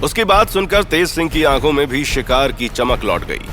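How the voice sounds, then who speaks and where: Male narrator, audio drama: suspenseful, theatrical, very expressive intonation, music underneath. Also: fairly high pitch, normal speed. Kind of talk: dramatic scripted story narration.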